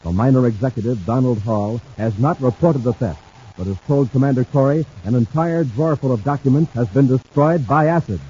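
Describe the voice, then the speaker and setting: An actor playing a hearty, dramatic space serial announcer, punchy and emphatic, in 1950s radio style. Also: male voice, mid-range voice, mellow, natural voice. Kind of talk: 1950s radio ad and story narration. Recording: muffled, noisy old radio recording.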